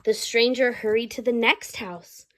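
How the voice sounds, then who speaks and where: A woman reading a children's story aloud, pausing to explain things simply to her listeners. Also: a little high, steady tone, a bit bright. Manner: pauses to explain words and ask listeners questions.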